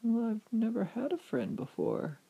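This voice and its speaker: A misunderstood storybook witch, acted out by a man, pleading and easily hurt. The voice is lifted high above a creaky, husky base, with a defensive sting to it. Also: wildly swooping pitch, measured pace, narrow, tinny audio, heavily acted. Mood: shy, softened. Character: misunderstood woman branded a witch, defensive then shyly wounded. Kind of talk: improvised bedtime story dialogue.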